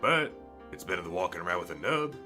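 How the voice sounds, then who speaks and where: A man's voice: deep voice, very animated, quick pace, over music. A man voicing a blunt, folksy, kindly shopkeeper and smith in a fantasy audio drama. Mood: wry, blunt.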